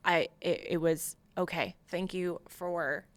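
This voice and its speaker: A woman's voice: lowish voice, fairly even intonation, takes it slowly, no echo. An American woman giving a candid, emphatic personal account as an interview guest, acting out remembered remarks. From Long Island, New York, USA. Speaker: podcast guest, military veteran.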